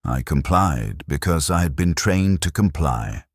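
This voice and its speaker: A man narrating a scripted first-person war story in a grave, measured, formal manner. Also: a bass voice, expressive, dry recording, studio quality.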